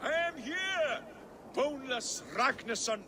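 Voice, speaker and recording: A man's voice: very high-pitched, animated, slow pace, sharp tone, slight background noise, heavily acted. An actor playing a proud, defiant king in a video game, declaiming with mocking scorn. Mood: scornful defiance. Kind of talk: video game cutscene acting.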